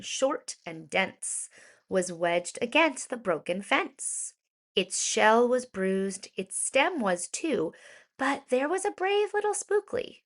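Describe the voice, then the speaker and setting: A woman reading a rhyming children's story aloud in an expressive, deliberate storytelling manner with emphasized words. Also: medium pitch. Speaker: children's storybook reader, educator.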